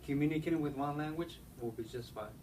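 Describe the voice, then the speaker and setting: A man's voice: on the high side, fast, a little mellow. A young man whose first language is Spanish, speaking English with a Spanish accent, answering interview questions hesitantly with many fillers and restarts. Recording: distant mic, faint background noise.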